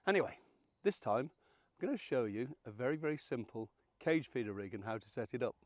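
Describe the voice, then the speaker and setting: A man around seventy, croaky and hoarse from illness, hosting a fishing channel and walking through a rig in a chatty, folksy, self-deprecating way. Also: mid-range voice, big pitch swings, a little fast, no echo. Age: 70s. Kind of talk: casual how-to demonstration.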